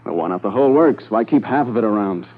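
Crooked wheeler-dealer, 1950s radio crime serial: fairly low voice, easygoing persuasive patter, boxy narrow-band vintage sound. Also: male voice, expressive, fast. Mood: persuasive, eager. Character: confident, wheeling-dealing male crooked gold dealer. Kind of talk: 1950s radio drama acting.

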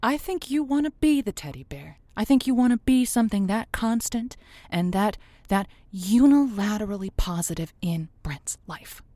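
A woman playing an earnest, assured agony aunt on a radio call-in show, measured and probing. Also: medium pitch, expressive, warm tone, no room sound, natural voice. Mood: earnest advising. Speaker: call-in advice show host. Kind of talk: semi-improvised audio drama, radio host.

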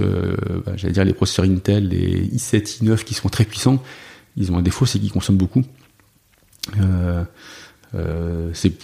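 A man whose first language is French, a software developer guest in a casual interview, talking with many fillers and restarts. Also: fairly low pitch, vocal fry.

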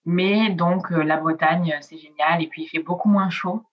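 A middle-aged woman from southern France, whose first language is Italian, answers interview questions in a loose, chatty way. Her voice sits a little low and she fills pauses with hesitations. The recording sounds slightly muffled. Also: fairly even intonation. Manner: chatty, frequent hesitations, trails off softly. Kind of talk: casual interview chat.

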